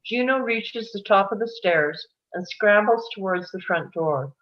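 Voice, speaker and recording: A woman's voice: medium pitch, lively intonation, a little slow, lo-fi sound. A woman reading stage directions as scripted narration at a live table read.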